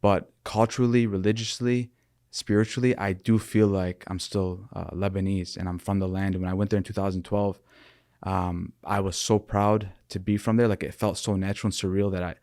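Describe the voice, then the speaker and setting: A young man from Michigan, talking earnestly and thoughtfully as a podcast guest, often pausing and restarting his sentences. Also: deep voice, flat delivery, a little mellow, dry recording, studio quality. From Michigan, USA. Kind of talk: reflective interview talk.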